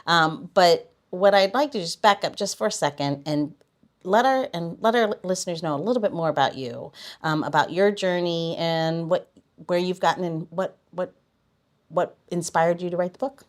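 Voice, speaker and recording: A woman with a fairly low voice hosting a relaxed podcast. She chats easily, laughs readily and winds her way through long, meandering questions. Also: normal speed. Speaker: podcast host. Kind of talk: casual chat, interviewing a guest.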